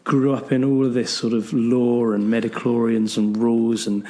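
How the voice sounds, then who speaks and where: Bloke, podcast co-host, nursing a cold, voice going, rambling talk. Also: male voice, mid-range voice, level tone, moderate pace, dark tone. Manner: rambling, full of ums and restarts. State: has a bad cold, losing his voice. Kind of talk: casual chat.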